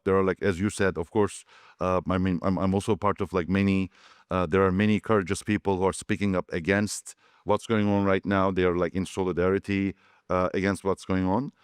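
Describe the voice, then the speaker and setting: A man from Turkey answering on a conference panel, halting and full of fillers and restarts. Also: sits low, clear, resonant tone, dry recording, clean studio sound.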